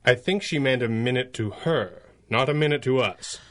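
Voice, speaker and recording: A man playing a calm, wry, gentlemanly masked hero in a 1930s-style radio serial, dry and understated. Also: medium pitch, moderate pace, lightly acted. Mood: reassuring.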